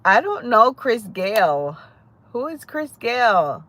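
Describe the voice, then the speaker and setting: A woman's voice: a little high, big pitch swings, slow pace, fairly bright tone, no room sound. A woman from Jamaica with a Jamaican accent, chatting casually with viewers on a live stream, greeting them by name and laughing often. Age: adult. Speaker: livestream host.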